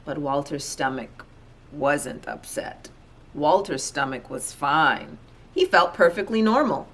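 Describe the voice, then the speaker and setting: A woman with a slightly low voice reads a children's storybook aloud. Her narration is expressive, shaped for young listeners. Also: slow. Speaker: storybook reader.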